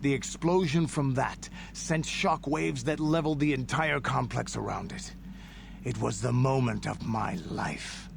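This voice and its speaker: A gruff old-soldier game character, an alien warrior proud of his battles. His voice is gravelly and creaky, and he tells his tales in a deliberate, boastful way, with clear noise in the recording. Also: mid-range voice. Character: proud gruff veteran alien warrior man recounting war stories. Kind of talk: dramatic scripted video game dialogue. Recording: game audio under steady noise.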